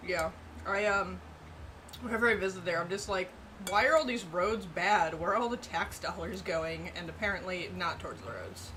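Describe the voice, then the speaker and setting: A woman's voice: fairly low pitch, moderate pace. A woman co-hosting a stream, chatting casually with dry, joking asides while she eats. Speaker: co-host eating on stream. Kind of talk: casual chat. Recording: steady background noise.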